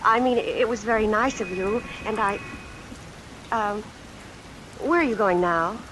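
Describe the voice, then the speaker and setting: A woman's voice: medium pitch, a little slow, close to an everyday voice. Earnest young American woman, acted on rainy old radio. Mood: shy, grateful. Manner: hesitant, polite. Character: earnest, guarded young secretary, politely uneasy. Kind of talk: old-time radio suspense acting. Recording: vintage radio recording, hiss and heavy rain. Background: rain sounds added over the broadcast.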